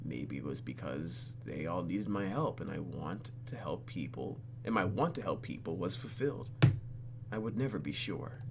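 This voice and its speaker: A man hosting a game playthrough, reading the story aloud and tossing in playful, animated asides. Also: deep voice, moderate pace, creaky, noisy background. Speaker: host of a game playthrough video. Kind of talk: reading game narration aloud with casual reactions.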